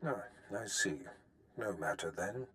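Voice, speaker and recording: An actor playing a sinister, scheming Sith master in a video game, with a deliberate, grandiose and formal delivery. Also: male voice, low-pitched, lively intonation, bright, forceful tone, full character voice. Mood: grave. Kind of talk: theatrical video game villain acting.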